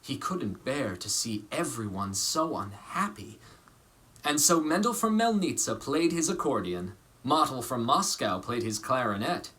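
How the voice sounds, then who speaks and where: Male storybook reader, bright forward tone, very animated melody, theatrical emphasis. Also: medium pitch. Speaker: actor reading a children's storybook aloud. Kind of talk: expressive storybook narration.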